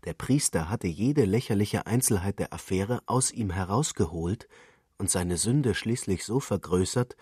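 A man's voice: sits low, darkish tone, slightly rough, no echo, clean studio sound. A man reading literary prose as a scripted narrator.

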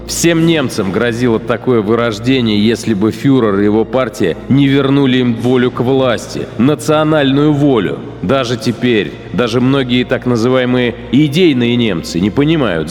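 In a long hall reverb with faint music behind, a male actor plays a fanatical Nazi SS commander. He is cold, cynical and boastful, speaking in a mid-pitched voice. His radio-drama monologue is theatrical and sardonic, with deliberate, contemptuous emphasis. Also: a little mellow, lightly acted.

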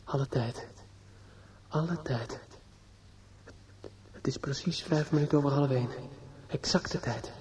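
An actor in a radio drama playing a despairing, obsessive judge's son who is outwardly polite and inwardly bitter. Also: male voice, mid-range voice, darkish tone, a little hiss or hum, natural voice. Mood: bleak brooding. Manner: halting and hesitant with others, brooding and repetitive when alone. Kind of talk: radio drama acting with interior monologue. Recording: dull lo-fi old radio play recording.

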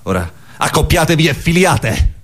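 A man's voice: on the high side, big pitch swings, normal speed, a little hiss or hum, voice pushed up. A man playing a menacing, formal alien abductor giving ceremonious orders in an absurdist radio sketch. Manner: ceremonious, commanding.

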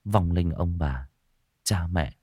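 A man's voice: sits low, takes it slowly, a little mellow, breathy edge, dry recording, clean studio sound, full character voice. A man voicing a troubled young man in an audiobook, speaking in an earnest, weary and respectful way. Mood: solemn pleading.

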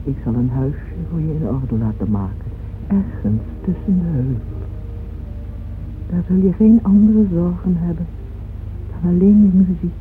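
An actress playing a lonely, yearning older aristocratic woman in a radio play, speaking slowly, wistfully and intimately. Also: on the low side, a little hiss or hum, lightly acted. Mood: tender longing. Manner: slow, wistful, intimate confiding delivery. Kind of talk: old radio drama acting, monologue. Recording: dull old radio recording with music.

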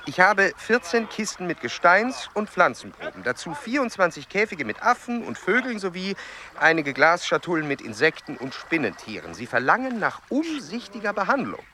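An actor playing a pompous, meticulous aristocratic explorer, speaking formally and precisely in a German radio drama. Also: male voice, sits high, huge pitch swings, moderate pace, pushed and bright, slight background noise, heavily acted. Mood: brisk, formal. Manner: formal, precise, self-important.

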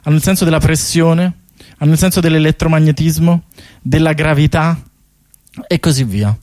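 A man's voice: a little high, normal speed, a little mellow, dry recording. A man talking informally and colloquially as a guest in a radio studio, explaining things in a chatty way. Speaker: radio guest, artist presenting a bike tour project. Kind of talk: animated explanation in casual radio interview.

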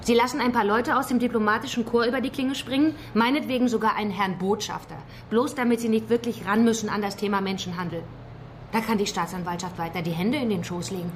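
A woman's voice: a little high, quick pace, a little hiss or hum, lightly acted. An actress playing a combative, scornful and driven woman activist in a German radio drama. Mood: cynical. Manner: combative, sarcastic.

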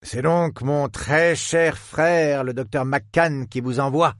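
A man's voice: medium pitch, slow, no room sound, studio quality, voice pushed up, close to an everyday voice. A man voicing a theatrical, effusive elderly male historian who speaks with old-fashioned courtesy. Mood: jovial.

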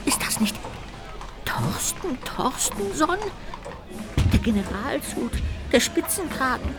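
A woman voicing a small, mischievous castle ghost in a radio play, indignant and fond of ghostly hooting. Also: sits high.

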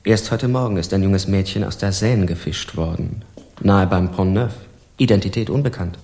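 An actor playing a pompous, suspicious police official in a German radio crime play, officious and quick to take outrage. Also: male voice, deep voice, a little mellow. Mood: cold, officious. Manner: officious, stern, spluttering when provoked. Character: pompous, suspicious male Paris police secretary, easily outraged.

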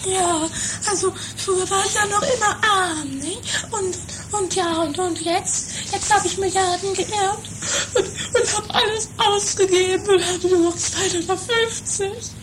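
A woman playing a haughty, money-minded, melodramatic rich mother in an improvised trash comedy radio play, theatrical and halting. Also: very high-pitched, fairly even intonation. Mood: whiny, distraught. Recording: noisy lo-fi 1980s amateur radio recording.

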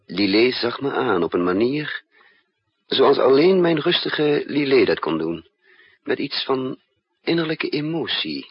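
A man's voice: medium pitch, husky, no echo, full character voice. An actor playing a resourceful male spy in a 1960s Dutch radio drama, thinking aloud in hesitant, broken phrases. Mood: reflective narration. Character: resourceful male spy narrating his own risky mission. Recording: muffled, noisy 1960s radio recording.

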